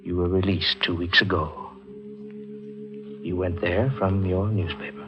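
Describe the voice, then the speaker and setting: An actor playing a measured hospital doctor in a 1940s radio mystery, questioning a patient in a deliberate, clinical way. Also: male voice, sits low, steady tone. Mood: probing, steady. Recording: muffled vintage radio recording.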